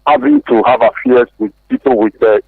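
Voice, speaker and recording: Over a muffled, dry phone line, a man speaks as a radio caller. His gravelly voice swings widely in pitch as he haltingly recounts events, often repeating phrases. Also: wildly swooping pitch, no room sound. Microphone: phone call.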